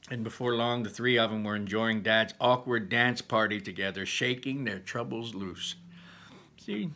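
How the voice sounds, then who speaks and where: A man reading a storybook aloud in an animated manner, stepping out for chatty explanations to his listeners. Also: mid-range voice.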